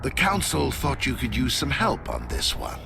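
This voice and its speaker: An actor playing a coolly assured, scheming alien agent in a video game, with a gravelly voice. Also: male voice, medium pitch, wildly swooping pitch, moderate pace, heavily acted. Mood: cool reassurance. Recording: loud music behind dialogue.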